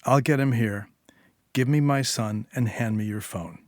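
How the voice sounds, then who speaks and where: A man's voice: medium pitch, measured pace, no echo, lightly acted. A desperate mother in an audio short story, read by a man. She speaks in clipped, insistent phrases and keeps herself controlled, in a close, dry recording. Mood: tense, controlled. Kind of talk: dramatised story reading.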